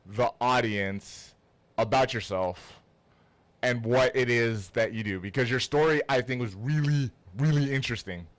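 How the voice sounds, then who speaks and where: Smooth-voiced man hosting, close dry sound. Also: mid-range voice, fairly bright tone, no room sound. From Toronto, Canada. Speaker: podcast host and interviewer. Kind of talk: casual interview chat.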